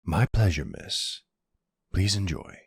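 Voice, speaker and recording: A man's voice: sits low, slow pace, very noisy. A man voicing a formal, obliging manservant of a vampire household in an audio roleplay, proper and deferential. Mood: polite, eager.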